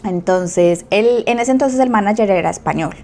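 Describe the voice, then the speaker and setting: A woman with a Colombian accent tells her story as a podcast guest in a relaxed chat. Her voice sits at an average pitch. She is animated and acts out quoted speech. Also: normal speed. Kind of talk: casual storytelling.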